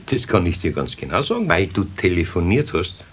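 Gruff kindly old workshop boss, bavarian accent, husky voice that rises and falls a lot, teasing, kids radio play. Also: male voice, low voice, fairly quick, exaggerated voice. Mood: stern, knowing. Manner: lively, folksy, gently scolding. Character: gruff but kindly older master craftsman, patient, teasing. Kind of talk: 1970s children's radio play acting.

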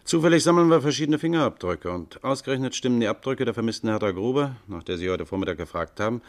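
An actor playing a gruff, methodical, sardonic police inspector, dry and stern, in a 1950s German radio crime drama. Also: male voice, medium pitch, lively intonation, fast talker, natural voice. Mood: stern, probing.

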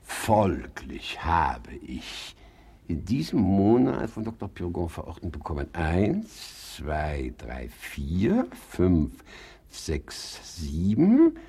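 A creaky, fussy old-style miserly hypochondriac. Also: male voice, low-pitched, huge pitch swings, extremely slow, mellow, full character voice. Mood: fussy muttering. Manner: theatrical muttering, wailing and scolding. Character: fussy, miserly male hypochondriac, querulous and self-pitying. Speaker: actor. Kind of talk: German radio play comedy acting.

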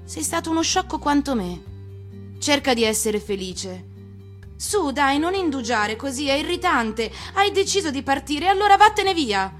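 Storybook flower, woman performer: proud, needy coquette with affected airs, raised pitch, faint music underneath. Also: big pitch swings, moderate pace, a bit bright. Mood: proud, tearful farewell. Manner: affected, demanding, sighing airs.

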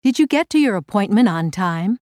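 A woman narrating a language course from a script, with clear instructional enunciation. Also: medium pitch, animated, normal speed, darkish tone, dry recording, clean studio sound. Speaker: language course narrator giving English titles and translations.

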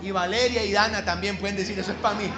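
A man with a coastal Colombian accent preaching a sermon to a congregation, emphatic and slowing down to stress key phrases. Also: sits high, steady tone, crowd noise, noisy background. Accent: Colombian Caribbean coastal. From Caribbean coast of Colombia. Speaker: pastor. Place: church hall. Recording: echoey church hall.

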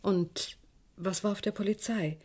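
An actress playing a timid, sympathetic and deferential office secretary in a German crime radio play. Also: female voice, mid-range voice, unhurried, a little mellow, natural voice. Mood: dutiful.

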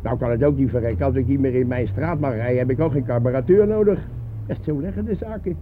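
A man's voice: medium pitch, fast, lightly acted. A man playing a chatty, grumbling, resigned working-class taxi driver in a radio play. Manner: garrulous, colloquial, shrugging. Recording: narrow-band old radio play, faint noise.

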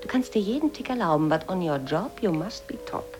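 Frank professional woman actress, low-pitched, sceptical and direct, radio play, music underneath. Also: expressive, fairly quick, close to an everyday voice. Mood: exasperated, reassuring. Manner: direct, reasoning. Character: sensible, frank female media professional, sceptical. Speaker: actor. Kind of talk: 1980s German radio drama acting.

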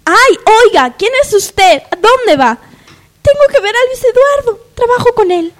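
A woman's voice: very high voice, animated, a little slow. A woman playing a defiant young daughter of the household in a parody radio soap opera. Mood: defiant, cheeky.

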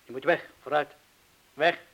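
An actor playing a weary, irritable middle-aged man in a radio drama, speaking haltingly in broken-off phrases. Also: fairly high pitch, steady tone, fairly bright tone. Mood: urgent, exasperated.

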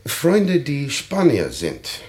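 A probing, suspicious official. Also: male voice, a little high, very slow, a little hiss or hum, exaggerated voice. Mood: interrogating. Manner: probing, insistent, animated. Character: suspicious male official interrogating a refugee. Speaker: immigration official. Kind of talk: radio drama acting.

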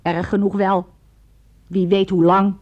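An actress playing a pushy, money-minded tavern waitress and fiancée in a radio drama, blunt and nagging. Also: female voice, medium pitch, flat delivery, measured pace, muffled recording, natural voice. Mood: satisfied, cool. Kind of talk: Dutch radio drama acting.

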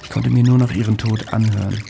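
A man's voice: fairly low pitch, level tone, fast talker, creaky, noisy background. An actor playing a grave, regretful man telling his story intimately in a German radio drama. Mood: regretful, somber. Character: grave, regretful man recounting a past tragedy.

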